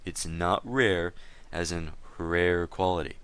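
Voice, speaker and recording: A man's voice: sits low, fairly even intonation, slow pace, a bit bright, clear, resonant tone, dry recording. A man doing a dramatic reading as a stern, stubborn town leader, matter-of-fact and lecturing in an online-game dispute. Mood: stern, exasperated. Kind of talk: dramatic reading of a gaming chat log.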